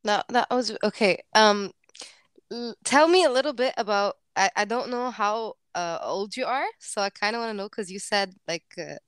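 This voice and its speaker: A woman asks friendly, chatty questions, padding her speech with 'like' and 'um'. Her voice is smooth and clear, and the recording is dry and close. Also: medium pitch, moderate pace, dry recording. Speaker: interviewer. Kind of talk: casual interview talk.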